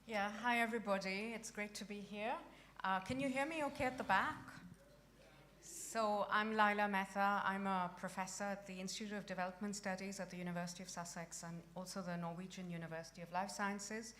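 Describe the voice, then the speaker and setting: A woman's voice: mid-range voice, steady tone, fairly quick, a bit bright, slight background noise. A woman professor introducing herself on a conference panel in a friendly, direct way. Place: conference hall.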